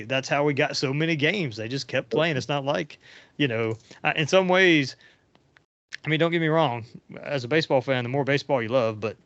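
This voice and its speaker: A man's voice: medium pitch, fairly bright tone, dry recording. A middle-aged man hosting a casual sports chat podcast, talking in a rambling way that trails off and rephrases. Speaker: podcast host. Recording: dull lo-fi audio.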